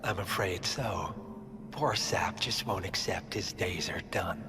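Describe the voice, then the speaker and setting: A man playing a sinister, smug older male mastermind in a game cutscene, speaking in a soft, half-whispered, gloating way. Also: low voice, slow, gravelly, music in the background, full character voice. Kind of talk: video game cutscene acting. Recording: game cutscene with loud score.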